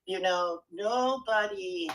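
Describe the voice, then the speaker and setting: A woman speaking as a guest in a casual chat, earnest and halting, often repeating her words. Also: mid-range voice, a bit bright, slight background noise, low-quality recording. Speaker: guest speaker on a live audio room.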